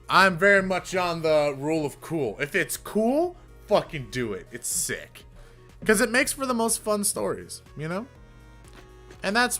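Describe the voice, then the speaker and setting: A young man streamer chatting casually, rambling with fillers and casual swearing, with a fairly deep voice. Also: a bit bright. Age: young adult. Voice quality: somewhat deep voice. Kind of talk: casual live-stream chat.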